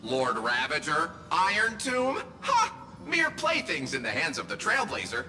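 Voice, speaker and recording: An actor playing a flamboyant, pompous, flattering herald in a video game, with theatrical, florid delivery. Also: male voice, fairly high pitch, measured pace, full character voice. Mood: grandiose, delighted. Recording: long reverb, faint music behind.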